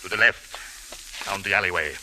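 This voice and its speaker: Casting: man playing foreign radio-drama killer, low voice, formal and taunting; crackly vintage recording. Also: close to an everyday voice. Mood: cold menace. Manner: formal, deliberate, taunting phrasing. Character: menacing foreign male killer obsessed with gold. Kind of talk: 1940s-50s radio drama acting.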